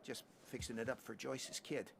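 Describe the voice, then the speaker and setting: An actor voicing a weary, quiet working man who talks in a subdued way. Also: medium pitch, steady tone, normal speed, creaky, slight background noise, close to an everyday voice. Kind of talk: video game voice acting.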